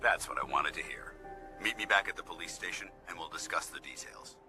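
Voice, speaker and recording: Deep-voiced stern military squad leader played by a man. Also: brisk, lightly acted. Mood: approving, formal. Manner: formal, clipped military phrasing. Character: stern, disciplined military paladin squad leader, earnest and commanding. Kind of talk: scripted video game dialogue. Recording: loud music behind the voice.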